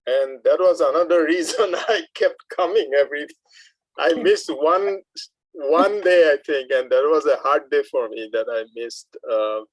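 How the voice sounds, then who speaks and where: An older man chatting casually in a group discussion, halting and amused as he shares his thoughts. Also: fairly high pitch, no echo, poor audio. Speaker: workshop participant, first-time amateur actor. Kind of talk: casual post-show discussion.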